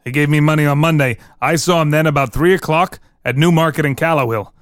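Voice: mid-range voice, fast, no echo, studio quality, close to an everyday voice. An actor reading the part of an evasive prisoner, a German immigrant murder suspect, giving short guarded answers in a dramatic reading. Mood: guarded, flat. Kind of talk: dramatic reading of an interrogation transcript.

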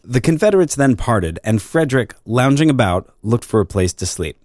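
A man reading an old crime story as narrator, in a measured, formal storytelling manner. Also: medium pitch, lively intonation, darkish tone, dry recording, clean studio sound.

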